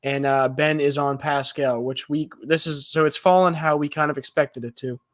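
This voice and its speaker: A young man co-hosting a live sports podcast, chatting excitedly and rambling through his reactions to the game. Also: fairly high pitch, dry recording, clean studio sound. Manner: excitable, rambling, quick asides. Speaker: podcast co-host.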